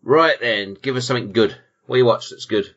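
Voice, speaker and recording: A man's voice: mid-range voice, a little fast, dull audio, over music. A British man hosting a podcast, chatting casually with dry, jokey, sweary banter. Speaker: podcast host. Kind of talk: casual banter and film chat.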